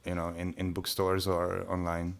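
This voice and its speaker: A man whose first language is Hungarian, talking casually on a podcast with many pauses and restarts. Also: low-pitched, completely flat delivery, creaky. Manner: hesitant, many restarts and fillers. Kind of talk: casual conversation.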